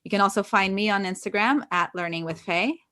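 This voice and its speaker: A woman from Brazil, co-hosting a podcast as a brisk, encouraging interviewer. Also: medium pitch, sharp tone. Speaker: podcast co-host.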